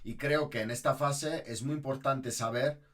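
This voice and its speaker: A man with a mid-pitched voice speaks as the host of an interview show. He talks conversationally, introducing and putting questions to a guest. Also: fairly even intonation, moderate pace, a bit bright.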